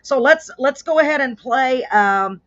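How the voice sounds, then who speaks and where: A woman's voice: high voice, expressive, measured pace, a bit bright, dull audio. A woman with a Texan accent hosting a casual live interview, chatty and enthusiastic. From Texas. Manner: chatty, enthusiastic, reads viewer comments aloud. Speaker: host.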